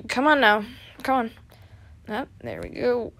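A woman playing a playful, excitable young male adventurer in an audio roleplay, chatty and expressive, affectionate with a partner. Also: on the high side, creaky, exaggerated voice. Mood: amused, teasing. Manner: breezy, giggly, expressive, chatty.

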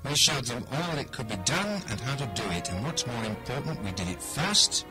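An actor playing an earnest, proud engineering team leader of the 1920s, speaking in measured speeches in a radio play. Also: male voice, mid-range voice, lively intonation, over music, lightly acted.

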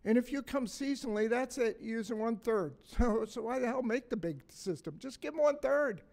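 An older man in his sixties with a slightly raspy voice, giving a blunt, folksy conference talk from a podium mic in a hotel meeting room, joking and asking the audience questions. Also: extremely high pitch, lively intonation, moderate pace, a little mellow. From Massachusetts, USA. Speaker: conference speaker. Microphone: stand mic on a podium.

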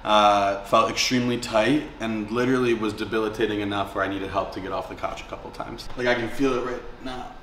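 A man's voice: lowish voice, steady tone, brisk, hardly any pauses, a bit bright. A young man, a patient, describing his back pain casually with lots of fillers. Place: clinic room. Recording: echoey room with faint noise.

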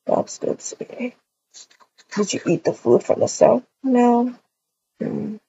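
A woman voicing a possessive, menacing male yandere captor in an amateur roleplay, talking softly and slowly in a husky whisper. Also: fairly high pitch, animated, slight background noise, heavily acted. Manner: soft, slow, breathy whispering. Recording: dull lo-fi recording.

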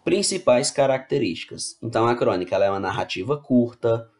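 A male teacher at a middle pitch talks through a slide lesson. He reads each point aloud and then explains it again in everyday words. He keeps checking whether the students are following along. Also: lively intonation, fairly quick, a little mellow. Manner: explains casually, checks in with "né?" and "tá bom?". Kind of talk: online class lecture over slides.

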